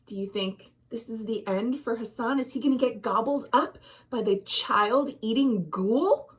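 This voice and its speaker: An American woman reading a children's story expressively, with dramatic, deliberate emphasis and questions to young listeners. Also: fairly high pitch. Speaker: librarian, storytime reader.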